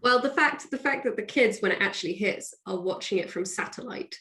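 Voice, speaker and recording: A woman with a mid-pitched voice talks as the guest in a casual interview. She is a writer explaining her work, chatty and animated, often slipping into quoted asides. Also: fairly quick. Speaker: guest, audio drama writer.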